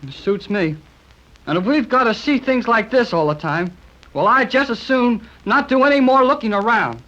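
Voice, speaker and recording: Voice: mid-range voice, expressive, normal speed, a bit bright, close to an everyday voice. A performer playing a brash, curious boy adventurer in a 1930s radio serial, with slangy, folksy, eager speech. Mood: shaken, subdued. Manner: folksy, informal, eager exclamations. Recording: muffled, noisy vintage 1930s radio recording.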